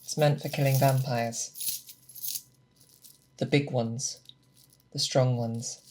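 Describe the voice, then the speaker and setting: A woman playing a seasoned, grave and protective female monster hunter in a slow, hushed, breathy ASMR roleplay, close to the microphone. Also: a bass voice, exaggerated voice. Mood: confiding. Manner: slow, deliberate, hushed, with pauses. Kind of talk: ASMR roleplay, close soft speech and whispers. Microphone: close binaural microphone.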